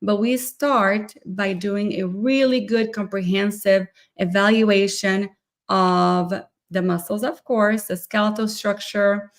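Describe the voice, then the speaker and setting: A woman's voice: medium pitch, fairly even intonation, takes it slowly. A woman hosting a live podcast interview, explaining her field fluently and upbeat. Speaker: podcast host, myofunctional therapist.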